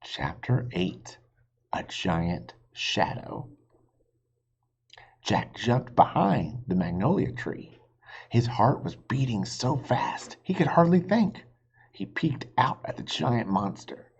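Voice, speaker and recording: A man's voice: mid-range voice, sing-song, slow pace, creaky, husky, in a whisper. A man reading a children's storybook aloud expressively, like a teacher, on a slightly muffled recording. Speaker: reader, teacher.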